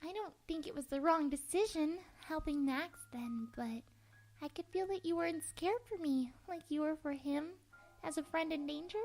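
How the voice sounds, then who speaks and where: A woman's voice: sits high, normal speed, creaky, a little mellow. A woman voicing a childlike, affectionate and wistful book spirit in a comic dub, chatting in a tender, cutesy and playful way. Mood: quietly hurt.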